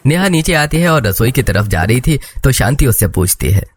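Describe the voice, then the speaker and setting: A man's voice: mid-range voice, big pitch swings, brisk. A man reading scripted narration for a cartoon family story.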